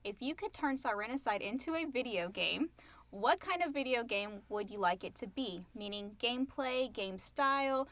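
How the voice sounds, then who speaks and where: A woman's voice: fairly high pitch, lively intonation, moderate pace, fairly bright tone. A woman co-hosting a podcast, chatting casually in a question-and-answer session. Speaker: podcast co-host and co-creator. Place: small enclosed recording booth.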